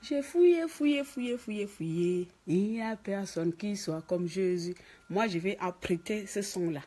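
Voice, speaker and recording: A woman's voice: mid-range voice, measured pace, dark tone. A woman from Gabon with a Gabonese French accent, scolding and mocking in an emphatic political rant. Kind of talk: ranting political commentary to camera. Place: office.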